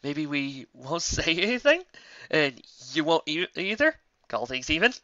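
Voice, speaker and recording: A prim, officious pony translator , played by a man, stiff and huffy. The voice is pushed high and bright in a storybook reading. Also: sing-song, a little slow, no room sound, lo-fi sound, full character voice. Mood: nervous, bargaining.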